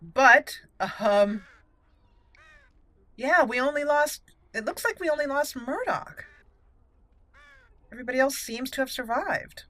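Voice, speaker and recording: A woman's voice: high-pitched, lively intonation, measured pace, long pauses, bright, forceful tone, dry recording. A woman hosting a gaming channel, giving casual roleplay commentary as she plays. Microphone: voice-over over game audio.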